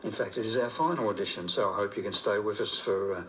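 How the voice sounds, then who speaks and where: An older Australian man presenting community radio, chatting live in an easygoing, gentle, halting and rambling way. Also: lowish voice, reverberant, low-quality recording.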